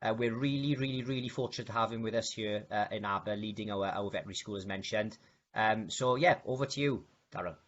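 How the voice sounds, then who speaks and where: A man's voice: fairly low pitch, fast talker, a bit bright, muffled recording. Upbeat man hosting a webinar. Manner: upbeat, lots of fillers. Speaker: webinar host.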